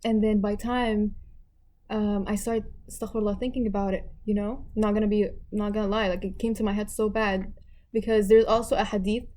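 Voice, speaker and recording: Young woman guest from Abu Dhabi, mellow tone, animated storyteller who does voices for others. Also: mid-range voice, fairly quick. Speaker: guest, tennis player. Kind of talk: casual podcast chat.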